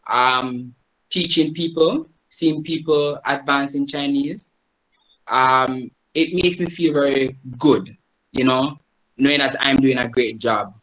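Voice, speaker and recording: A man's voice: mid-range voice, slow, fairly bright tone, poor audio. Jamaican man, language teacher presenting over a video call, explanatory and conversational. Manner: explanatory, asks the audience "right?" often. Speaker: guest presenter, Chinese teacher. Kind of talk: online presentation to a group.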